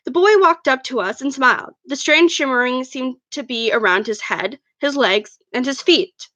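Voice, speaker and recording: A woman's voice: high-pitched, expressive, normal speed, pushed and bright, dry recording. A woman reading her own fan fiction aloud as first-person narration, an amateur who stumbles over words now and then.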